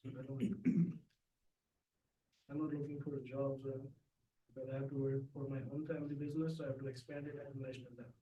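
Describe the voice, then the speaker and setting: A man's voice: mid-range voice, flat delivery, fast talker, long pauses, mellow, lo-fi sound. A man, a graduate student casually introducing himself to a class. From India. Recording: roomy, distant, faintly noisy room.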